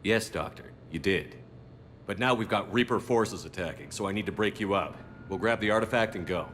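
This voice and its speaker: An actor playing a resolute, no-nonsense military commander, direct and terse. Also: male voice, on the low side, fairly quick, fairly bright tone, a little hiss or hum, lightly acted. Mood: firm. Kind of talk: video game dialogue.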